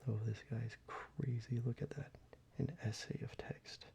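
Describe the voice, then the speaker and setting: A man speaking in a soft, breathy whisper, gently rambling in a casual ASMR style. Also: mid-range voice, fairly even intonation, measured pace. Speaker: ASMR video creator. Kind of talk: soft-spoken ASMR, casual card-collection show-and-tell. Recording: muffled, with background hiss.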